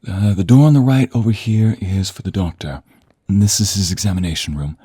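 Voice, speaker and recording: Man as hesitant polite assistant, low-pitched, very husky, soft-toned, deferential, audio roleplay. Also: a little fast, no echo, studio quality. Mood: awkward, courteous. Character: polite, hesitant male assistant sent by a doctor.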